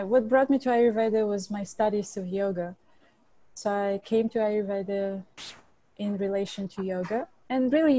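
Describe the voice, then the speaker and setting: Casting: woman chef from Bulgaria, mellow tone, dry close sound, earnest interview answers. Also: medium pitch, no room sound, rough recording. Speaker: restaurant chef and cookbook author.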